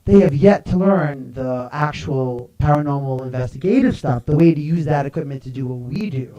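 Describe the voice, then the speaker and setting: A woman's voice: low-pitched, expressive, normal speed, mellow, highs cut off. A woman co-hosting a podcast, bubbly and enthusiastic in casual chat. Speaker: podcast co-host.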